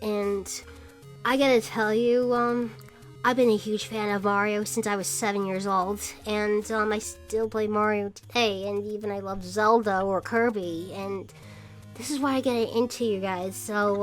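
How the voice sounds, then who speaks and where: A woman's voice: mid-range voice, fairly even intonation, measured pace, soft background music. A woman in her twenties chatting casually as a vlog host, rambling and hesitant as she talks. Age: 20s. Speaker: vlogger and podcast host. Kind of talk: casual shoutout vlog chat.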